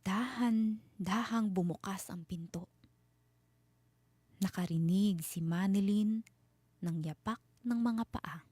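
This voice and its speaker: A woman narrates a scary story in a dark, mellow voice of medium pitch. Her phrasing is deliberate and full of suspense, and the sound is very dry and close. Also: breathy edge, dry recording. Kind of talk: dramatic scripted horror narration.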